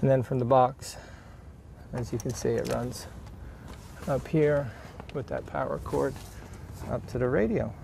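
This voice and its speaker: A man's voice: mid-range voice, a little slow, gravelly, long pauses, darkish tone, a little hiss or hum. A man giving a casual, plainspoken hands-on how-to narration as he works on a project. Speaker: DIY video creator.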